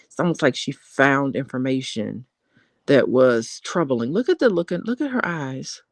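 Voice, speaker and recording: A woman's voice: extremely low pitch, animated, normal speed, dry recording. A woman co-hosting a casual live-stream chat as a relationship therapist, thoughtful and a little hesitant. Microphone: close mic at home, in bed.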